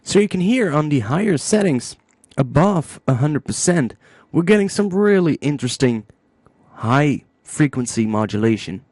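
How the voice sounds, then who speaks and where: A man with a mellow, relaxed tone walks through a software tutorial. His pitch moves in a lively way as he thinks aloud, casual and informal. The voice is recorded dry, with no sense of room around it. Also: moderate pace, dry recording. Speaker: music production tutorial host.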